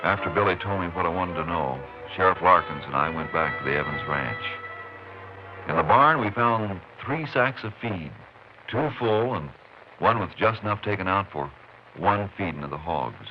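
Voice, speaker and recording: A steady American Texas Ranger in a 1950s radio western. His voice sits fairly low and moves with great animation, in folksy, clipped phrases. The old recording is muffled. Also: normal speed, music in the background. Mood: matter-of-fact.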